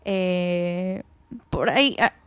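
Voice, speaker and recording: A woman, co-hosting a podcast and telling stories in casual chat with quick asides. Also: on the low side, smooth, dry recording. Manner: chatty, storytelling with quick asides. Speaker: podcast co-host.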